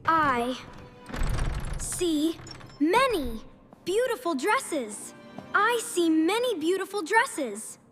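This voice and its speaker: A woman voicing an eager, cheerful young girl in a beginner English storybook cartoon, speaking slowly and deliberately in simple sentences. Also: very high-pitched, fairly bright tone, heavily acted. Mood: wonder. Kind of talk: slow scripted English lesson story dialogue.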